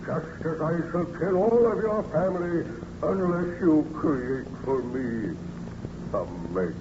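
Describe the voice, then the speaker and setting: A man's voice: on the high side, animated, slow pace. A man playing a hideous, vengeful monster with ponderous, theatrical menace in an old radio drama. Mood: brooding, menacing. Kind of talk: 1930s radio acting. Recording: dull, noisy old radio recording.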